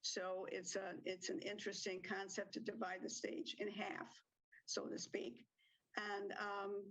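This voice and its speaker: A woman's voice: mid-range voice, a bit bright, poor audio. A woman who directs community theatre, answering interview questions hesitantly with many fillers and small laughs.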